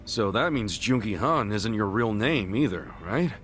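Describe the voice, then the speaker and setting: Brash, animated middle-aged ex-gangster. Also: male voice, lowish voice, unhurried, faint music behind. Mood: surprised, curious. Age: 40s. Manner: casual, blunt, slangy, expressive. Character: brash, good-hearted middle-aged male ex-yakuza. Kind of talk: English video game voice acting.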